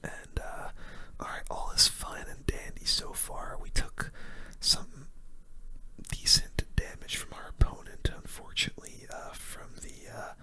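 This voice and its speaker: A gaming video host whispering ASMR commentary in a casual, chatty way with gamer slang. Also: a little slow. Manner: hushed, whispering throughout.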